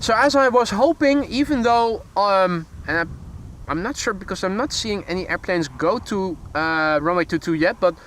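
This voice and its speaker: A man's voice: high voice, normal speed, a bit bright. A man with a Dutch accent hosting a live plane spotting stream outdoors, chatty and correcting himself as he goes, with dry little jokes. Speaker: plane spotting livestream host. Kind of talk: live commentary. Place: outdoors beside a field near a runway. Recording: faint background noise outdoors. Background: outdoor ambience, vehicles.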